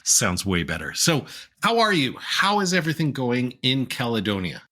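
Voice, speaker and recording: Lively, husky-voiced male podcast host. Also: normal speed. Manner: friendly, laughs often, animated rises in pitch. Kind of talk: casual interview chat.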